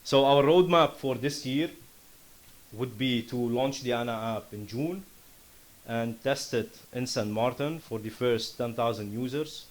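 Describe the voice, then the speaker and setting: A man from Syria with a Lebanese accent giving an earnest, impassioned startup pitch and answering questions. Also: unhurried. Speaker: startup founder presenting. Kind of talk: conference pitch and Q&A.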